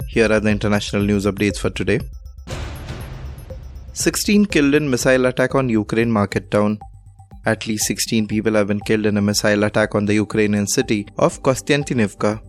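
A man with an Indian accent reads scripted news as a presenter, in a formal, measured way. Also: lowish voice, soft background music.

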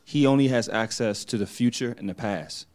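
A man's voice: medium pitch, moderate pace, darkish tone. A man in a church group earnestly explaining a spiritual idea to the others. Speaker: congregant.

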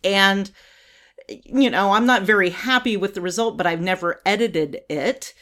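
A woman's voice: medium pitch, unhurried, a bit bright. An older woman from the United States hosting her own podcast, talking casually with many fillers, restarts and wry laughs. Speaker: podcast host. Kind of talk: casual solo commentary.

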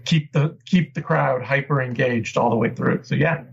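Husky guy hosting a fight show, kinda muffled lo-fi sound. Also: mid-range voice, moderate pace. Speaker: podcast host. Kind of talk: casual post-fight analysis. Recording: dull lo-fi remote audio.

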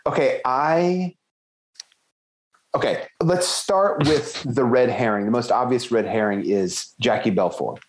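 A man co-hosting a casual book podcast, thinking aloud and laying out his hunches in an animated way. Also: medium pitch, measured pace, slight background noise. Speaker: podcast co-host. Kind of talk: casual book-club chat.

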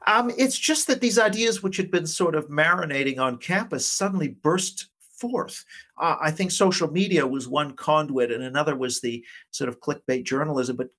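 A man speaking as an academic guest in an interview, explaining things in a measured, hedging, conversational way. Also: on the high side, a bit bright. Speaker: academic guest, politics professor.

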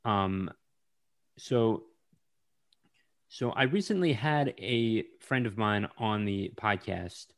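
A man hosting a podcast interview, speaking in an unhurried casual way with frequent ums and restarts. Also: lowish voice. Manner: slow, hesitant with many ums. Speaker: podcast host.

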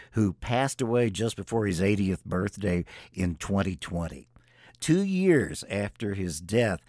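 Male music-show host, scripted narration, mid-pitched, very dry close recording. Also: darkish tone, no room sound.

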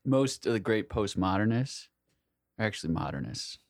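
Man, slightly deep voice, informal, slangy, wry, casual podcast chat, dry recording. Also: sing-song, normal speed, no echo. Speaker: podcast co-host.

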